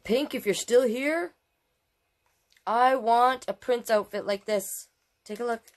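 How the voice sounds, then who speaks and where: A woman's voice: a little high, takes it slowly. A woman hosting a storytime, reading a children's book aloud expressively and adding affectionate, chatty asides. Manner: expressive, affectionate commentary, repeating phrases. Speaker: storytime host reading picture books.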